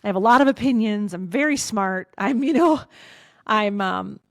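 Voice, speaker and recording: A woman in her fifties, a podcast guest, talking candidly in an outspoken, emphatic way with a loud voice, swearing freely. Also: mid-range voice, normal speed, no room sound, clean studio sound. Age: 50s. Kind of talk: candid casual conversation.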